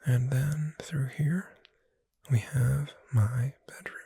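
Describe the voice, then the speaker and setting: A performer voicing a gentle, reassuring witch bookseller in a breathy whisper, soothing the listener in an ASMR roleplay. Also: mid-range voice, steady tone, exaggerated voice. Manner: slow, gentle, soothing, addressing the listener directly.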